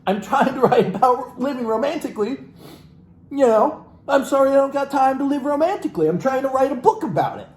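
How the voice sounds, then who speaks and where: A man's voice: extremely high pitch, lively intonation, fairly quick, darkish tone. A man reading a book aloud in a mocking, irreverent way, with casual, sweary asides. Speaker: reader and host of a comic book reading. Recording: roomy echo with background noise.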